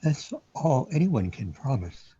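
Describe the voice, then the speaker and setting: A man's voice: medium pitch, sing-song, measured pace, warm tone, breathy edge, highs cut off, heavily acted. A man playing a mock-solemn cosmic insider who announces like an old-time serial narrator in an amateur audio comedy.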